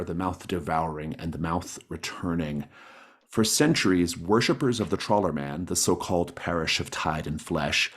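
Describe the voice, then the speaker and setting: A man with a very low-pitched voice runs a tabletop roleplaying game. He explains things to players in an encouraging, accommodating way over an online call. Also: husky. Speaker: game master, host. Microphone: online group call.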